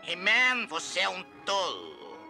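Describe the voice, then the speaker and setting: A man's voice: wildly swooping pitch, slow, sharp tone, soft background music, heavily acted. A man playing an arrogant, short-tempered skull-faced sorcerer in a dubbed cartoon, imperious and theatrical. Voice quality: shrill, creaky. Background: dramatic cartoon score.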